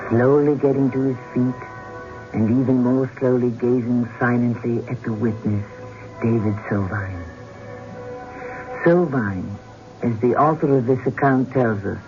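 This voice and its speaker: A man narrating a scripted radio drama as host in a theatrical, suspenseful manner, on a muffled broadcast with music behind. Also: medium pitch.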